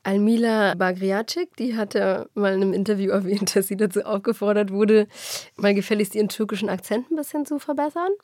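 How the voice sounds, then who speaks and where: A woman's voice: medium pitch, brisk, a little mellow, dry recording, studio quality. A woman interviewing in a conversational, informal way, rephrasing her questions as she goes.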